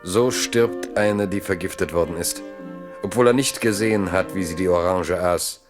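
Low male narrator for an old literary radio play, grave, deliberate, dramatic. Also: music in the background.

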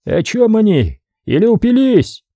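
A man voicing a curious foreign male ship's helmsman pestering with questions, husky and dry. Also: high-pitched, huge pitch swings, measured pace, mellow, dry recording, pitched above the performer's own voice, heavily acted. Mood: puzzled.

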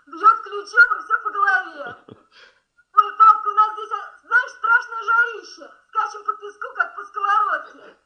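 A woman playing an excitable, affectionate young archaeologist daughter in a radio drama, gushing and exclaiming down a telephone line. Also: very high-pitched, moderate pace, heavily acted. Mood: bubbly, excited. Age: young adult. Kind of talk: Soviet radio drama acting. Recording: dull, band-limited phone-call sound.

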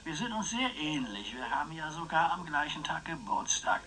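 An actor playing an eccentric old uncle in a radio play, rambling philosophically with a wry, teasing manner. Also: male voice, a little high, sing-song, normal speed, crowd noise. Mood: chatty, reflective. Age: older. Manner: musing, chatty, wry.

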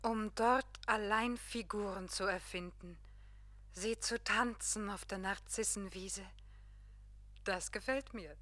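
Radio play tourist: enchanted, unhurried, medium pitch, short wondering replies. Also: female voice, pushed and bright, no echo. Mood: dreamy, wondering. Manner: dreamy, brief wondering replies. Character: enchanted, dreamy woman tourist. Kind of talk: German radio play acting.

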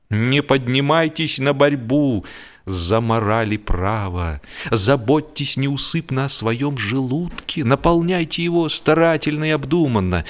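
Theatrical male narrator of humorous fiction. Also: mid-range voice. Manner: theatrical, measured, mock-solemn. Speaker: audiobook narrator. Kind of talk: scripted humorous literary narration.

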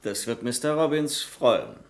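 An actor playing a correct, firm senior bank clerk with a formal, restrained manner in a German radio drama. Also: male voice, mid-range voice, unhurried, close to an everyday voice. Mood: polite, formal. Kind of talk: German radio crime comedy acting. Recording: noisy old radio drama recording.

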